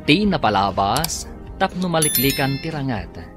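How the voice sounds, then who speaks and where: A man announces and narrates a radio serial with dramatic, scripted delivery. His medium-pitched voice swings widely up and down over prominent music. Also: very animated, moderate pace.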